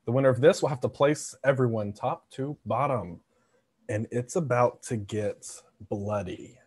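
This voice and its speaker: A man hosting an online elimination game, announcing the rules in a steady, formal way over a video call. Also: mid-range voice, measured pace, husky. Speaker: game host.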